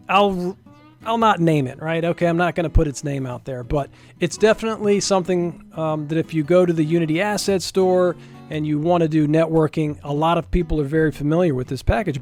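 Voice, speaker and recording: A man presenting a software demo as a developer, talking viewers through it step by step in an informal, folksy way. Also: on the high side. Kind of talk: casual screen-share tech demo narration.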